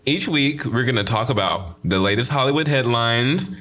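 A man's voice: medium pitch, lively intonation, moderate pace, a bit bright. A young man with a slight lisp hosting his own podcast, talking in a sassy, emphatic way and repeating his catchphrases. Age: young adult. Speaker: podcast host. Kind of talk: casual solo podcast intro.

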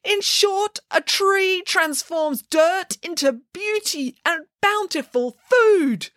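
A woman voicing a grief-stricken, dramatic female pig who speaks slowly and grandly, sinking into breathy whispers. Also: fairly bright tone, dry recording, clean studio sound, exaggerated voice. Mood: solemn. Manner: slow, high, theatrical, drops to breathy whispers.

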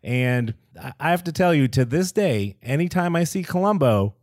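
A man's voice: on the high side, expressive, darkish tone, no room sound, clean studio sound. A man in his forties from the Boston area, co-hosting a podcast and chatting like an eager fan. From Boston area, New England. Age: 40s. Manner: eager fan, quick to laugh. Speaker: podcast co-host. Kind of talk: casual interview chat.

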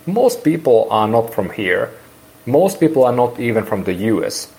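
A man's voice: medium pitch, sing-song, moderate pace, a little mellow, rough recording. A man in his forties from Finland with a Finnish accent, speaking English as a second language and rambling through an interview with many restarts. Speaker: guest. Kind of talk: casual interview.

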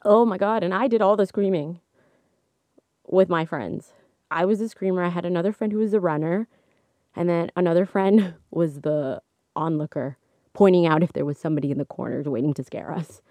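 A young woman hosting a solo podcast, chatting casually into a microphone. She laughs often, and her voice is slightly hoarse. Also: normal speed, no echo. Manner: chatty, laughs often, animated swings in pitch. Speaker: podcast host. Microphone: studio microphone.

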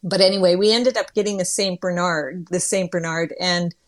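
A woman's voice: on the low side, fairly even intonation, moderate pace. A middle-aged or older woman from northern Ontario with a Canadian accent, chatting casually as an interview guest in a folksy, plain-spoken way.

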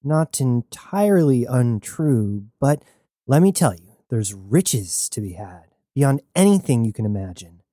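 A woman's voice: rumbling low, sing-song, a little slow, dark tone, dry recording, studio quality, heavily acted. A narrator playing a brash young adventurer woman. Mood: persuasive. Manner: brash, confident.

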